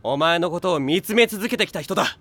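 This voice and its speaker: A man's voice: sits high, lively intonation, brisk, a bit bright, dry recording. A man playing a bombastic, fiercely loyal teenage boy in an anime drama CD. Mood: grand. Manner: bombastic, declaring. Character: small fiery teen boy, boastful and loyal.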